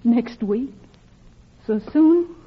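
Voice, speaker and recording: A woman's voice: a little high, animated, drawn-out words, husky, full character voice. An actress playing a guilty, lovelorn married Englishwoman in an old radio drama, with a clipped, genteel English accent and wistful restraint. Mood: subdued, sad. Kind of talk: old radio drama acting, first-person narration. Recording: muffled old radio recording, music behind.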